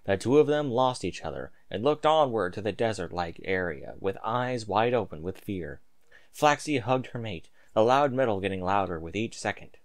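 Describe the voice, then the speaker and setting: A man's voice: fairly low pitch, lively intonation, moderate pace. A man reading a story aloud in a theatrical, emphatic amateur narrating style.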